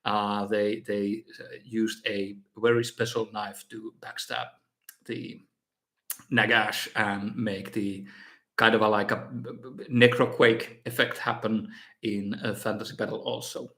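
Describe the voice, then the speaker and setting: A man's voice: on the low side, flat delivery, unhurried, a bit bright. A man with a Finnish accent, hosting a casual live stream Q&A and answering viewer questions with many fillers and restarts. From Finland. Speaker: miniature painting streamer.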